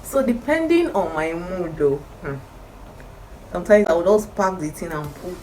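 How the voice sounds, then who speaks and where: A woman with a croaky morning voice talks through her skincare routine to camera in a chatty, emphatic way. Her voice sits a little low. Music plays clearly under her talk. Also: expressive, normal speed, poor audio. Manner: chatty, emphatic, repeats advice. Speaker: skincare vlogger.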